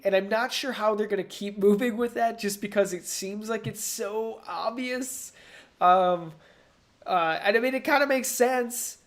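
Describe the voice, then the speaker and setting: A man co-hosting a casual pop culture discussion, thinking out loud and posing rhetorical questions. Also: high-pitched. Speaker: podcast co-host.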